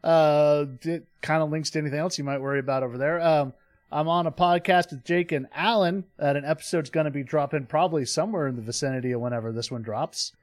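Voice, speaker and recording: A man from Texas with a slightly high voice, talking casually as a podcast guest. The sound is close and dry. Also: quick pace, no room sound. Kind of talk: casual chat.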